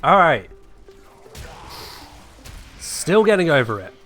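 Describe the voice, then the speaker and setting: A man's voice: fairly high pitch, big pitch swings, slow, a bit bright, faint music behind. A man streaming a game while sick, chatting casually and answering his chat, with a cough now and then.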